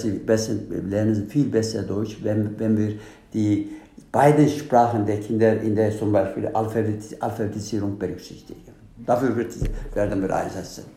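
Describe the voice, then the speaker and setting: A man speaks earnestly as a panel guest, his German halting and full of restarts. His voice is low, dark and gravelly, heard in an echoey room. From Turkey. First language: Turkish. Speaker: guest, candidate for integration council. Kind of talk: panel discussion.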